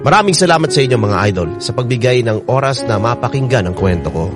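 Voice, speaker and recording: A man's voice: mid-range voice, lively intonation, fast, music in the background, lightly acted. An actor playing a contented young husband with a Filipino accent, narrating his love story for a radio drama. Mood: contented, grateful.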